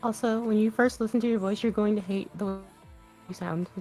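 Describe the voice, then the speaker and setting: A woman co-hosts a relaxed live stream, laughing easily between answers. Her voice sits at a middle pitch over faint music. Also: a little fast. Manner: light, laughs often, reads chat questions. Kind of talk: casual stream chat.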